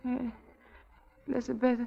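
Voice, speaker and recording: An actress playing a frightened, fragile married woman who doubts her sanity, pleading and halting in a 1940s radio drama style. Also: high-pitched, flat delivery, creaky, breathy edge, heavily acted. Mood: anxious, pleading. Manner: pleading, halting, repeats herself. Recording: muffled vintage radio, loud music.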